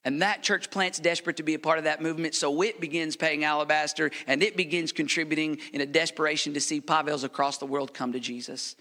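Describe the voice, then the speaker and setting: American guy preaching in church, bright ringing voice, big echoey sanctuary, goes loud then real quiet. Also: on the high side, fairly even intonation, fast talker, few pauses. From United States. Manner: passionate, preacherly, swings from loud to hushed. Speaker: missionary pastor. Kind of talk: sermon with a prayer. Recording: reverberant hall.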